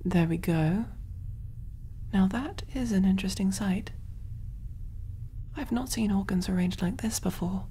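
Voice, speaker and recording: A woman voicing a kind, clinical alien surgeon in a gentle, reassuring ASMR roleplay, soft-spoken and often whispering. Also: medium pitch, unhurried, pauses often, a little hiss or hum, lightly acted. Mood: fascinated.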